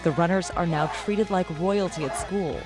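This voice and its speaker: A woman TV news reporter reading a scripted story in a measured, steady voice. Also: lowish voice, over music.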